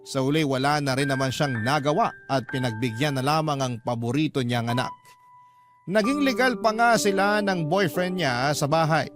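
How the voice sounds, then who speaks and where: A man's voice: on the high side, lively intonation, normal speed, lightly acted. A man reading a scripted first-person story as an emotional radio storyteller. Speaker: storyteller narrating a letter sender's story. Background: background music.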